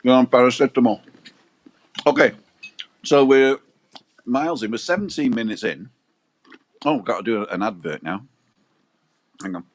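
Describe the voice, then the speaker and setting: A man's voice: mid-range voice, lively intonation, moderate pace, pauses often, poor audio. A man co-hosting a casual livestream, chatting and joking as he reads out viewer comments. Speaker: livestream co-host. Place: balcony, outdoors.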